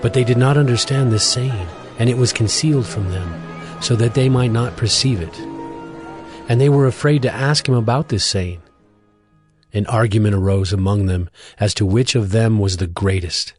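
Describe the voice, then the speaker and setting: Low-voiced male scripture narrator. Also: moderate pace. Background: music.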